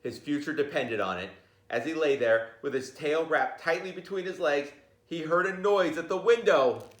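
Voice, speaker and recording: A man reading a children's picture book aloud in an informal, jokey, expressive way, with chatty asides. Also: fairly high pitch, moderate pace, roomy sound.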